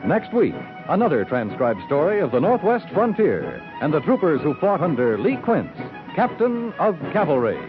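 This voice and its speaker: A man's voice: fairly high pitch, huge pitch swings. Man reading 1950s radio credits. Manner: measured, formal announcer delivery. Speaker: announcer. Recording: dull 1950s radio broadcast recording with music.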